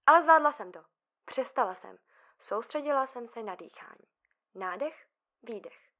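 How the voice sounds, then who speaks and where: A woman acting a fragile psychiatric patient in an audio drama. Her voice is fairly high, and she talks in nervous, halting phrases, full of anxiety. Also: lively intonation, pushed and bright. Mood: nervous, self-soothing.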